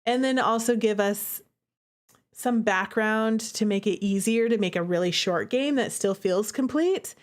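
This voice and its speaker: A woman streamer giving casual, expressive and giggly commentary on a game she made herself. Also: mid-range voice, unhurried.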